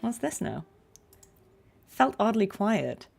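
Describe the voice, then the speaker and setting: A woman's voice: medium pitch, sing-song, slow pace, gravelly, darkish tone, husky. A woman game streamer chatting informally and musing aloud to her live viewers. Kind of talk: casual live stream chat.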